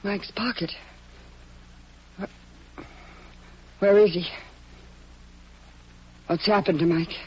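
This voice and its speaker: A woman's voice: mid-range voice, steady tone, takes it slowly, long pauses, slightly rough. An actress playing a distraught, defiant young captive woman in a vintage radio crime drama. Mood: shocked, distraught. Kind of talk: 1940s radio acting. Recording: dull, muffled vintage radio recording.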